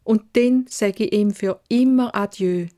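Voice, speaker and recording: A woman's voice: medium pitch, measured pace, warm tone, lightly acted. A woman voicing a kind, devoted young daughter in a Swiss German fairy tale, earnest and tender. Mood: earnest promise.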